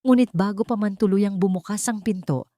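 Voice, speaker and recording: A woman's voice: medium pitch, normal speed, dark tone, dry recording, clean studio sound. A woman narrating a scripted romance audio drama in a dramatic storytelling style.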